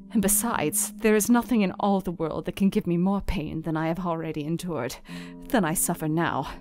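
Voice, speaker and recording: A woman's voice: lowish voice, lightly acted. A woman playing a brave, sorrowful young woman. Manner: quiet, earnest and resolute. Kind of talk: dramatized audiobook reading.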